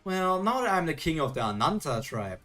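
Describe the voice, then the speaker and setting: A man's voice: on the high side, big pitch swings, fairly quick, a bit bright, faint music behind. A man reading the lines of a scornful female demon king who taunts her rival. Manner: sneering, condescending.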